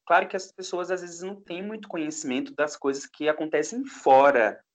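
A man's voice: fairly high pitch, fairly even intonation, fairly quick. Reflective man. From Pernambuco, Brazil. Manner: reflective, with many restarts and fillers. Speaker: guest. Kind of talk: casual interview chat.

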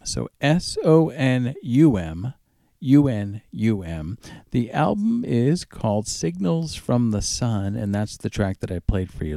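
A man presents a music radio show in a casual, chatty style, his pitch moving freely up and down. The voice is dark and relaxed with a gravelly fry, picked up close on a dry microphone. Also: dry recording.

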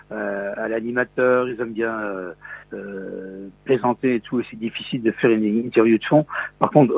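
A man's voice: lowish voice, vocal fry, husky, muffled recording. An older man from Lorraine, a radio host, reminiscing in an interview with many hesitant fillers and restarts. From Lorraine, France.

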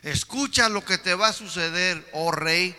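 A man's voice: high-pitched, measured pace, sharp tone, clean tone, full character voice. A man voicing a stern heavenly voice pronouncing judgment in a Bible story, commanding and declamatory. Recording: reverberant hall.

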